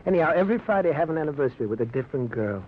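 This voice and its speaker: A man's voice: on the high side, animated, racing, natural voice. Man playing fussy chatty little New shopkeeper. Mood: chatty, flustered. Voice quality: hesitant, wordy, mock-formal phrasing. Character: chatty, fussy, good-natured little male candy store owner. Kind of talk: 1940s-50s radio drama acting. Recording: muffled, noisy old radio recording.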